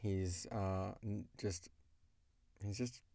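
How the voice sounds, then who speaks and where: A man's voice: low voice, steady tone, very slow, vocal fry, mellow, no echo, clean studio sound. A man hosting a podcast in a casual, chatty style. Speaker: podcast host and voice actor. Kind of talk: casual chat. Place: recording booth.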